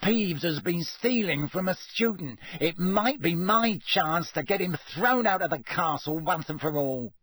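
A man's voice: a little high, moderate pace, a bit bright, slightly rough, no echo, pitched above the performer's own voice. A man voicing a whining, aggrieved and spiteful school caretaker in an audiobook, with a plaintive, complaining manner.

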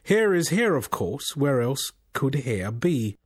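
A man's voice: medium pitch, very animated, takes it slowly, breathy edge, no echo, studio quality, voice pushed up, full character voice. A man voicing a scornful, teasing bodiless spirit who fires off riddling questions in a storybook. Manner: scoffing, teasing, rhetorical questions. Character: mocking, laughing, bodiless male voice, scornful riddler.

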